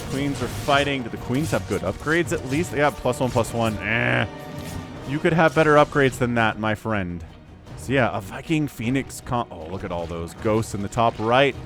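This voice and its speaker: A man in his forties giving lively, excitable play-by-play commentary on a strategy game match. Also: mid-range voice. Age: 40s. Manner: animated, excitable, self-deprecating asides. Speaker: game caster. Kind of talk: esports commentary.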